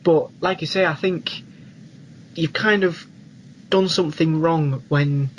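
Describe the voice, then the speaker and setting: Higher-pitched British man, faint noise behind. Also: slow, rough recording. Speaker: film podcast co-host. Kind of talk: casual chat.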